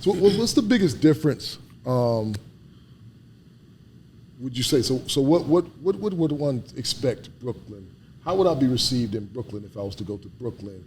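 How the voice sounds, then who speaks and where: A man from Detroit hosting a conversational interview, relaxed and joking, often affirming his guest. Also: medium pitch, normal speed, a little hiss or hum. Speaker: host, interviewer.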